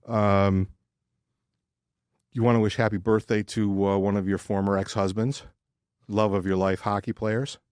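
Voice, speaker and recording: An American man co-hosts a sports podcast in a lowish voice. He talks casually but bluntly, ranting with plenty of profanity and strong opinions. The sound is dry, with very little room to it. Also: level tone, measured pace, pauses often, a little mellow, smooth, dry recording. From Detroit, United States.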